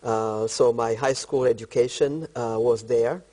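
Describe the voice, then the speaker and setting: A middle-aged man from eastern Congo speaks with a Congolese accent as an interview guest. His voice is dark and mellow at a medium pitch. He answers deliberately, in short, halting phrases. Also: steady tone. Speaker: interview guest, country director of a volunteer organisation.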